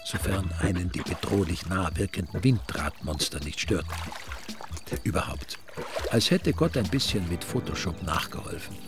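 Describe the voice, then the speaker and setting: A wry radio-play storyteller with an Austrian accent. His voice is low and creaky, and he tells the crime tale with dry irony, music clearly behind him. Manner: dry, ironic. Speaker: narrator. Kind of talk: scripted radio drama narration.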